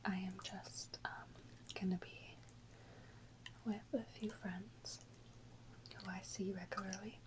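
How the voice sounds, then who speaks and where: A woman whispering softly and unhurriedly in a casual ASMR chat, close to the microphone. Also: medium pitch, level tone, takes it slowly, long pauses, background noise. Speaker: ASMR video host.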